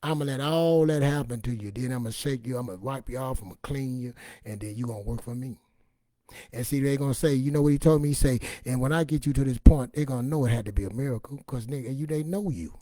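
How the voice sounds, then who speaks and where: A raspy-voiced man giving an informal, emphatic personal testimony in a streetwise, repetitive way, acting out bits of dialogue as he goes. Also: medium pitch, fast talker, dark tone, no room sound, studio quality. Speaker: online motivational and faith speaker.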